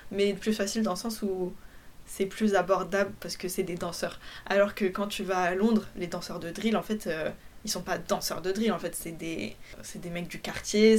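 Young woman chatting away, lots of euh and restarts, laughs a bunch. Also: mid-range voice. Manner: chatty, many fillers and restarts, laughs often. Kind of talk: casual chat.

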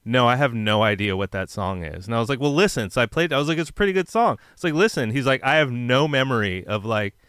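A man's voice: medium pitch, lively intonation, fast talker, few pauses, fairly bright tone, smooth, dry recording, clean studio sound. A man, a punk musician, chatting casually and asking questions in a laid-back, filler-heavy way. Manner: laid-back, lots of fillers, frequent yeahs. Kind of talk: casual podcast chat.

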